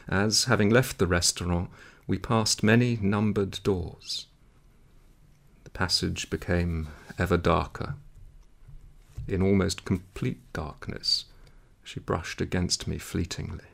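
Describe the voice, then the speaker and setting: Low, gravelly man reading a story. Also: unhurried, fairly bright tone. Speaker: audiobook reader. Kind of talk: literary story narration.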